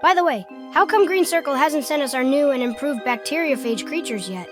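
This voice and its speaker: A woman's voice: high-pitched, lively intonation, fairly quick, over music. A woman voicing a fretful, emotional young boy sidekick who complains in a comic dub. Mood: worried.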